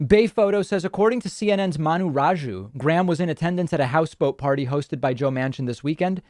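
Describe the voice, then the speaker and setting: A man's voice: on the high side, expressive, fast, a little mellow, no echo. A male talk-show host, whose first language is Spanish, reading viewer comments aloud and answering with dry, dismissive asides. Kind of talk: live-stream talk show chat.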